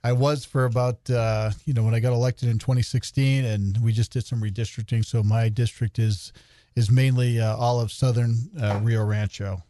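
A man's voice: mid-range voice, fairly even intonation, moderate pace, warm tone, no room sound, studio quality. A man in his fifties from New Hampshire, a county commissioner and retired officer, telling his background as a guest on a radio talk show with many fillers. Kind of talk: radio interview, telling his life story.

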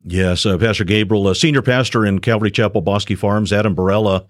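A middle-aged man who is a retired police officer, giving an earnest personal testimony on a radio show in a measured, halting way. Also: fairly low pitch, fairly even intonation, no echo, studio quality. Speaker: shepherd school student, retired police officer.

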